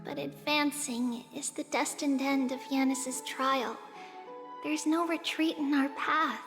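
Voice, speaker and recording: High-pitched, solemn little girl, slow-spoken. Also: fairly even intonation. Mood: solemn resignation. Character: small childlike girl, gentle, solemn, wise beyond her years. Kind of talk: video game voice acting. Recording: long reverb, faint music behind.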